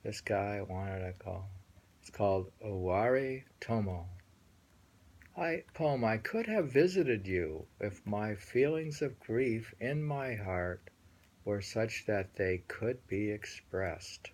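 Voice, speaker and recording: A man reading translated poems aloud and musing on them casually, in a halting manner with repeated phrases and a husky, gravelly voice. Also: lowish voice, lively intonation, a little mellow. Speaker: reader and host.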